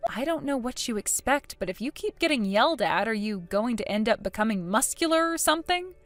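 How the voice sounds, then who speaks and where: A woman's voice: on the high side, lively intonation, a little fast, no echo, soft background music, lightly acted. A woman voicing a blunt, judgmental, scornful woman in story dialogue, smug and needling in a matter-of-fact way. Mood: dismissive.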